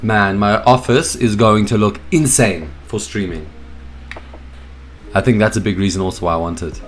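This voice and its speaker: A young man with a hoarse, froggy voice from a cold, hosting a casual live stream from his home office, hyped up and greeting viewers in the chat by name. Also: fairly low pitch, normal speed, soft background music. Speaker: streaming host.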